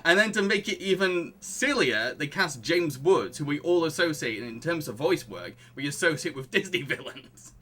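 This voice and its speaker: A man's voice: a little high, expressive, moderate pace, hardly any pauses, bright, forceful tone. A man hosting a casual film review podcast, opinionated and mocking in manner. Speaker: podcast host.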